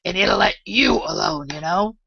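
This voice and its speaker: A woman voicing a very old, grumpy male frog servant with a hoarse, slow drawl, in a storybook reading. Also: on the low side, expressive, fairly bright tone, dull audio, slight background noise. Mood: muttering. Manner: muttering, ungrammatical.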